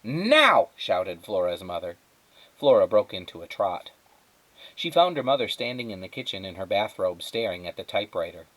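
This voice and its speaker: A man reading a children's story aloud as a teacher would, with dramatic, measured phrasing and emphatic pauses. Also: sits low, expressive, low-quality recording. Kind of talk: expressive children's storybook narration.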